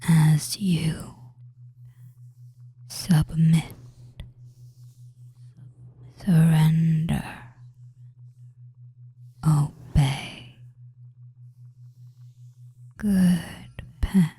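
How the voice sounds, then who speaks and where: A person whispering a soothing hypnosis roleplay in ASMR style as a doting, controlling fantasy witch, with drawn-out phrases and pauses. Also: medium pitch, fairly even intonation, dark tone. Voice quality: breathy, mostly unvoiced whisper.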